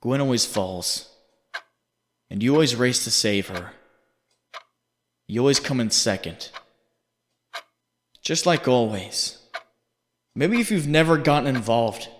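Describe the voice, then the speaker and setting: A man playing a haunting inner voice, narrating in the second person with deliberate, accusing, dramatic delivery. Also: mid-range voice, expressive. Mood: accusing, brooding. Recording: long reverb, faint background noise.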